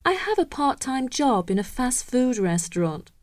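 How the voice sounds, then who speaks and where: A woman reading scripted self-introductions and instructions for a listening exercise, slow and carefully enunciated. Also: high voice, sing-song, no echo.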